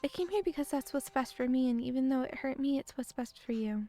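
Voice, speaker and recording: A woman with a weak voice reading the lines of a gentle middle-aged immigrant mother in a story game, in a calm, reflective way. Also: sits high, brisk, soft background music.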